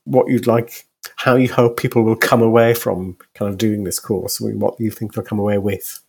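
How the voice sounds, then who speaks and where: A man's voice: lowish voice, little pitch movement, moderate pace, vocal fry, darkish tone, breathy edge. A man interviewing a guest conversationally, with wordy, meandering questions as the host.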